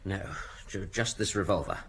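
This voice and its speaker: An actor playing a defensive, protesting husband, flustered and aggrieved, in an old radio drama. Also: male voice, deep voice, normal speed, gravelly, fairly bright tone, slight background noise. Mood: nervous.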